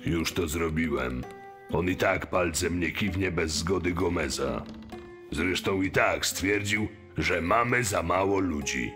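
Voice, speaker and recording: A man playing an exasperated, mocking mine boss in an audio drama, complaining in a forceful manner. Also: low voice, a little slow, over music. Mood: defiant, exasperated.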